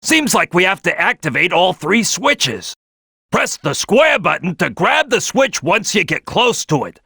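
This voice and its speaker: A man's voice: sits high, pushed and bright, breathy edge, dry recording, clean studio sound, full character voice. A man voicing a big brash cartoon crocodile team leader in a video game, giving tutorial tips with emphatic, punchy delivery. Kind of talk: animated game tutorial voice lines.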